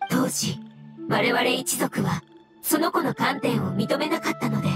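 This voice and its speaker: High-pitched solemn little mushroom spirit. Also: female voice, expressive, a little slow. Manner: formal, deferential, cryptic. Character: small floating jellyfish-like mushroom spirit, solemn and polite. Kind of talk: Japanese anime game voice acting. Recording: loud music under the voice.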